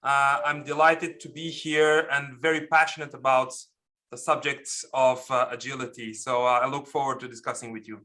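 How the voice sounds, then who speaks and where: A man's voice: mid-range voice, level tone, moderate pace, pushed and bright. A middle-aged man from Portugal, answering interview questions as a podcast guest in an explanatory, emphatic way. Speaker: podcast guest, pharmaceutical executive.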